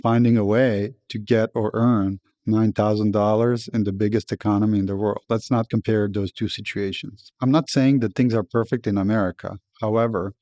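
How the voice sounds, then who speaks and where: A man's voice: lowish voice, fairly even intonation, moderate pace, few pauses, warm tone, no room sound, studio quality. A man from Serbia giving a blunt, opinionated monologue to camera, emphatic and swearing freely. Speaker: commentator.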